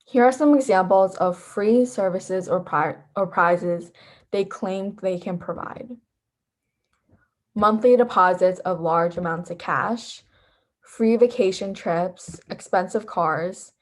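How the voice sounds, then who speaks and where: A woman's voice: fairly low pitch, slow. A teenage young woman presenting a scripted lesson as an instructor. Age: teens. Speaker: volunteer instructor.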